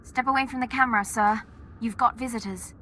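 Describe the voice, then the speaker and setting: An actress playing a loyal young military officer in a video game, with a crisp, dutiful, wry manner. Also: female voice, fairly high pitch, steady tone, a little fast, heavy background noise, lightly acted. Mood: brisk reporting.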